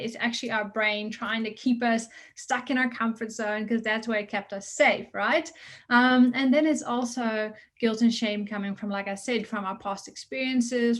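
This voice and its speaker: A woman's voice: on the high side, a bit bright. Woman with a mixed Dutch accent. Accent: mixed Dutch and South African. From Netherlands. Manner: earnest, with frequent ums and restarts. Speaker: results coach guest. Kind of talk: casual podcast interview.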